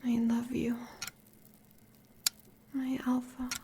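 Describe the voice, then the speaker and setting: An American woman playing a fierce, devoted werewolf huntress consoling her lover in an audio roleplay, solemn and measured, with a breathy voice. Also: high-pitched, completely flat delivery, background noise. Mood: tender, firm. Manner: solemn, measured, poetic delivery.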